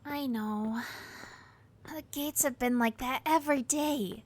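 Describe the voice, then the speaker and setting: An actress playing a warm, chatty young palace worker with a romantic streak, eager and sympathetic, in an audio drama. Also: female voice, high-pitched, takes it slowly, dry recording. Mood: concerned.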